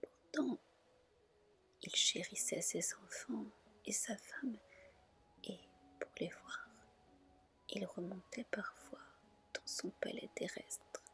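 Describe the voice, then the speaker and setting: A woman softly and gently reading a fairy tale as an ASMR storyteller. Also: medium pitch. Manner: soft, gentle, unhurried narration.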